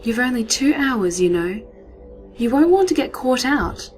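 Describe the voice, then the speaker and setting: A woman voicing a brisk schoolteacher calling out to her class. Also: a little high, very animated, darkish tone, faint music behind, voice pushed up.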